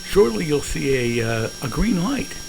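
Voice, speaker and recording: A man's voice: on the high side, very animated, measured pace, creaky. A man who is a private pilot, explaining his flight and making radio calls. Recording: cockpit and radio noise.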